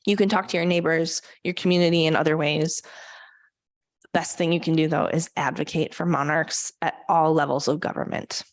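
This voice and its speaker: Lower-voiced woman giving a conservation talk. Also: steady tone, moderate pace, dry recording, studio quality. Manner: earnest, frequent um fillers. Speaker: conservation presenter. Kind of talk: public talk at a church forum. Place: church meeting room.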